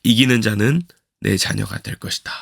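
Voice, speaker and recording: A man, a pastor, giving a slow, earnest devotional sermon, his voice hoarse from a cold. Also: lowish voice, fairly even intonation, a bit bright, dry recording, studio quality. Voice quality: gravelly. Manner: slow, earnest preaching with repeated reflective questions.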